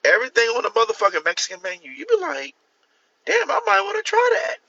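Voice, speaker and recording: Bright-voiced man chatting on a livestream. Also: wildly swooping pitch, fast talker, creaky, no room sound, highs cut off. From Miami. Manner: rambling, restarts, swings up in pitch for emphasis. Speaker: author hosting a livestream. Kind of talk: casual livestream chat. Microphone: phone or computer mic.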